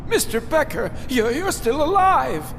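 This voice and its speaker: Very high-pitched fussy bank employee. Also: male voice, measured pace, full character voice. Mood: panicked. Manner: fussy, formal, excitable. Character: prissy, fretful, loyal male bank employee. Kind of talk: video game voice acting. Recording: echoing hall, clearly noisy background.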